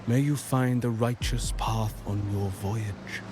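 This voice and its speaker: Sanctimonious game priest: lowish, very slow ceremonial delivery over music. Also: male voice, fairly even intonation. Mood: solemn blessing. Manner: ceremonial, measured, pious. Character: solemn, sanctimonious male priest shepherding pilgrims. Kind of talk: video game voice acting. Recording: music behind the voice.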